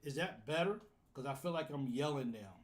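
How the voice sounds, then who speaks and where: A man's voice: mid-range voice, lively intonation, a little fast. A man hosting a live fan call-in show, casual and flustered while fixing his audio setup. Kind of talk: casual live-stream chat.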